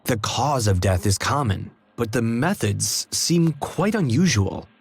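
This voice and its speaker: An actor playing a thoughtful, analytical detective with a deliberate, musing manner in a mystery game. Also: male voice, mid-range voice, sing-song, breathy edge, heavily acted. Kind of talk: video game voice acting.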